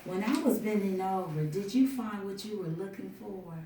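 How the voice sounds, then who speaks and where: A woman's voice: medium pitch, moderate pace, warm tone, lightly acted. Woman playing seductive teasing makeup artist, film table read, distant mic, room echo. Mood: teasing, flirty. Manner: teasing, sweet-talking. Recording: echoey room, distant mic, faint noise.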